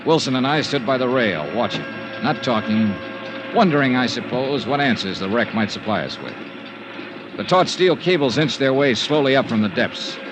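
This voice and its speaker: An American man acting a dry, wry hard-boiled insurance investigator in a 1950s radio detective drama, with clipped, deliberate delivery. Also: mid-range voice, animated, natural voice, rough recording. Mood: grave, suspenseful. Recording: vintage radio recording with music behind.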